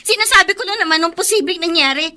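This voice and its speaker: An actress playing a sulky, resentful young daughter in a Filipino radio drama, petulant and stubborn, with a Filipino accent. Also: female voice, extremely high pitch, fast talker, pushed and bright, full character voice. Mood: resentful, accusing. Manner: petulant, whiny.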